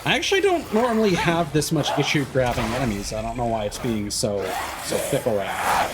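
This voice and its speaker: A man's voice: medium pitch, big pitch swings, brisk, heavy background noise. A man streaming a video game, giving casual live commentary and thinking aloud as he plays.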